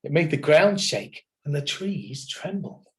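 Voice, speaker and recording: Animated man reading a children's story. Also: on the high side, measured pace. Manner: dramatic, expressive. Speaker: children's story reader at a primary school. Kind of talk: expressive scripted storybook narration.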